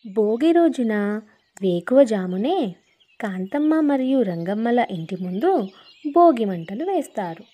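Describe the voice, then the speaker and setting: Mellow, higher-pitched woman storyteller. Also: big pitch swings, unhurried. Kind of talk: scripted narration of a cartoon moral story.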